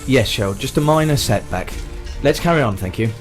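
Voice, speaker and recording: Man acting formal doctor, swooping pitch. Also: expressive, brisk, faint music behind, lightly acted. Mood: brisk, polite. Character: troubled male hospital doctor, polite but menacing. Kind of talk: radio drama acting.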